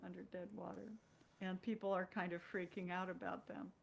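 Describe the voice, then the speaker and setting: A woman, a guest on a show, chatting casually and thoughtfully, pausing mid-sentence as she works out her point. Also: lowish voice, level tone, creaky, rough recording. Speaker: guest, stage and screen actress. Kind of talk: casual conversation.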